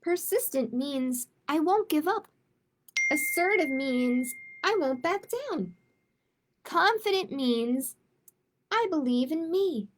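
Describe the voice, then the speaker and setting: Slow, high-voiced woman reading to children. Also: noisy background. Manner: slow, emphatic, expressive reading for young children. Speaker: children's librarian hosting storytime. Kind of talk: picture book read-aloud and casual chat.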